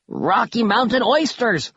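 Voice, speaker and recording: A man voicing a loud, indignant male adventurer in an audiobook, calling out in exclamations. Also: very high voice, animated, measured pace, breathy edge, voice pushed up, heavily acted. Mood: shocked. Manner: shouting, exclamatory. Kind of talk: audiobook character voice. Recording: roomy echo, dull lo-fi sound.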